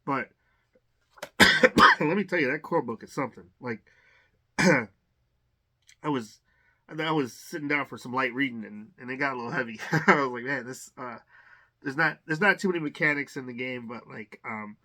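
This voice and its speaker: A man's voice: medium pitch, bright, forceful tone. A man hosting a casual unboxing video, thinking aloud with lots of fillers and restarts, enthusiastic about each item he pulls out. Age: adult. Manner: rambling, halting, many fillers and restarts; enthusiastic about what he finds. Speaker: unboxing channel host.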